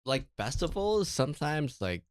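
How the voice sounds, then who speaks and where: A man's voice: fairly high pitch, sing-song, moderate pace, no echo. A man guesting on a podcast, chatting in a laid-back, loose way. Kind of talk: casual chat.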